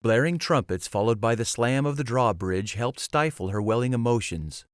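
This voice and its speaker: A man's voice: medium pitch, normal speed, dry recording, clean studio sound. A man reading scripted fantasy storybook narration as an audiobook narrator.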